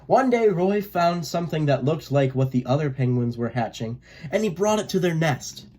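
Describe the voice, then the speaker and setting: A person reading a children's picture book aloud in a gentle, expressive way. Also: medium pitch, normal speed. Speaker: read-aloud storyteller.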